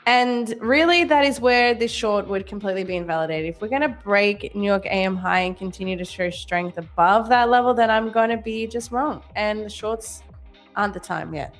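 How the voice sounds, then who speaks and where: A woman's voice: mid-range voice, normal speed. A woman in her twenties co-hosting a live trading stream, chatting casually, laughing often and reading viewer comments aloud. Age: 20s. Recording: faint music underneath.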